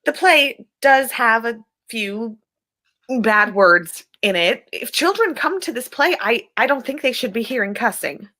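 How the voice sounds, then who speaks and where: A woman's voice: a little high, lively intonation, a little slow, bright, forceful tone, full character voice. A woman playing a prissy, motherly, easily shocked committee woman, earnest and chiding, in an amateur play reading.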